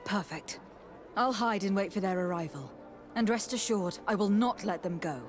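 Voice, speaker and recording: A woman's voice: medium pitch, moderate pace, soft background music, close to an everyday voice. Firm, formal noblewoman investigator played by an actress. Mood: calm, reassuring. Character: resolute young noblewoman investigator, protective and commanding. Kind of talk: video game voice acting.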